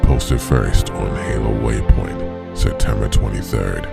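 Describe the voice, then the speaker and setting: Extremely deep, gravelly male announcer. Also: steady tone, takes it slowly. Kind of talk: scripted title card announcement. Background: loud music.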